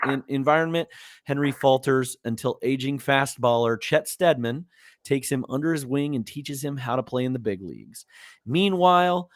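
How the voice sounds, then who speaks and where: A man with a slightly hoarse voice runs a casual movie podcast, chatting in an easygoing, rambling way full of little fillers and restarts. His pitch sits in an ordinary middle range. The sound is close and dry, with no room echo. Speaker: podcast host.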